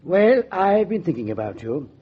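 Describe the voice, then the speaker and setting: A man's voice: high-pitched, very animated, normal speed, exaggerated voice. An actor playing a prim, smug male secretary in a 1940s radio drama, with a twittering, condescending manner. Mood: smooth-talking. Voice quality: twittering, husky. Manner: prissy, condescending. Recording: dull vintage 1940s radio recording.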